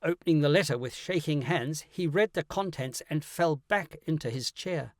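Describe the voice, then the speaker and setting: A man reading a scripted first-person story as an audiobook narrator, in an animated, dramatic way. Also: fairly high pitch, moderate pace, no room sound, studio quality.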